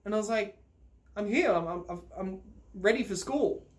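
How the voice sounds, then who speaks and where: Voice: medium pitch, moderate pace. A vlogger telling personal stories to viewers in a rambling, informal, sweary way, often ready to laugh. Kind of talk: casual personal storytelling to viewers.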